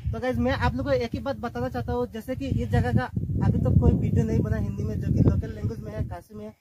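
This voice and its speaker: Young guy rattling off a travel vlog, high voice, loud wind on the phone mic. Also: fast talker, highs cut off. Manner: chatty, excited, addresses viewers directly. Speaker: travel vlogger. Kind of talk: casual travel vlog narration. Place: windy hilltops and a swaying suspension bridge outdoors. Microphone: phone camera on a selfie stick. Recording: clear wind noise outdoors.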